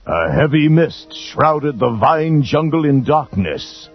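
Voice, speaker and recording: A man's voice: fairly high pitch, very animated, takes it slowly, lo-fi sound. A man giving dramatic scripted storybook narration. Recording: band-limited old readalong tape with music.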